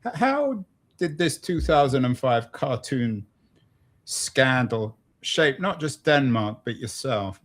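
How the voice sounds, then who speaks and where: Halting, probing male interview host. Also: medium pitch, sing-song, a bit bright. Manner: halting, probing, repeats himself. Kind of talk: conversational interviewing.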